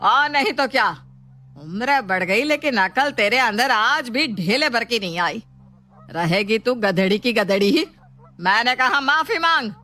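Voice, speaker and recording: A scornful ghost of an older mother-in-law, acted by a woman for a moral story. The voice is fairly high and piercingly bright, with slow, drawn-out scolding and domineering taunts. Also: expressive. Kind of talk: Hindi moral storybook drama.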